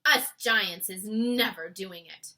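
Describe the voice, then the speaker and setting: Woman voicing a booming, exuberant friendly giant. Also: pushed and bright. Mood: scornful. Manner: booming, emphatic, drawn-out words. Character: big friendly old giant, exuberant, muddles his words.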